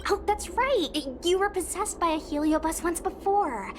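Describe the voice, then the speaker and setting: Lively high-pitched spirited young woman. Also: moderate pace, a little hiss or hum. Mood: sympathetic, fired up. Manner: animated, confident, casual. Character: spirited, protective young woman, brash loyal friend. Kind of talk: video game character dialogue. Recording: music behind the voice. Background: game music.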